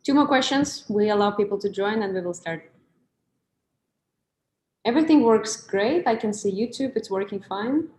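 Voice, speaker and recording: A woman's voice: medium pitch, lively intonation, normal speed, pauses often, darkish tone. A woman hosting and moderating an online talk, speaking in an upbeat way and switching between English and Russian. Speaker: host and moderator. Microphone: video call. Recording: echoey room.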